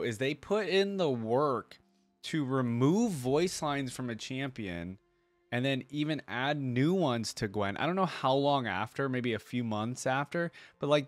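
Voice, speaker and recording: A woman's voice: very deep voice, no room sound. A woman hosting a reaction video with casual commentary, musing aloud in an informal way.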